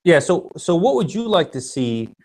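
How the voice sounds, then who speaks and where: A man's voice: on the high side, very animated, normal speed, a little mellow. Upbeat joking man hosting a web show. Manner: upbeat, joking, stumbling restarts. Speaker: host. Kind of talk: casual web broadcast chat.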